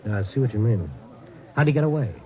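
An actor playing a wry, world-weary newspaper night reporter in a 1950s radio drama, with a sardonic, hard-boiled way of storytelling. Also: male voice, mid-range voice, expressive, fast, close to an everyday voice. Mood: brisk, probing. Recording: muffled 1950s radio recording, music behind.

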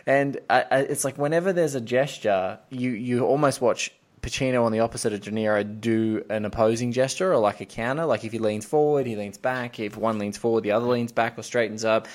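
A man's voice: medium pitch, fairly quick, few pauses. A man hosting a casual film discussion, talking in an enthusiastic, analytical and digressive way. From Australia. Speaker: podcast host.

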